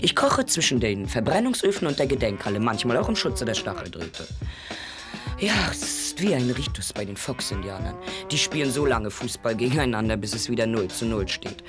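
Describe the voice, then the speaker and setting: An earnest woman radio editor rambles through a radio-play monologue in a halting, easily unsettled way. Her words come hesitantly, as if feeling for each one, with music playing clearly behind her. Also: a bass voice, sing-song. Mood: solemn, matter-of-fact.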